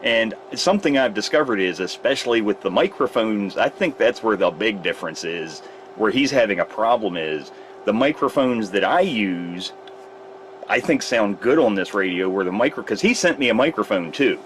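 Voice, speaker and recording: A man's voice: lowish voice, animated, moderate pace, a bit bright, a little hiss or hum. A man demonstrating radio repair in a casual, rambling way, often correcting himself mid-sentence. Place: repair shop workbench.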